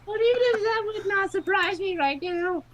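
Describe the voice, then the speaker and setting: A man voicing a bewildered, whimsical female inner voice in a questioning falsetto, reading from a visual novel. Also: normal speed, a bit bright, muffled recording. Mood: baffled. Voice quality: high, put-on falsetto.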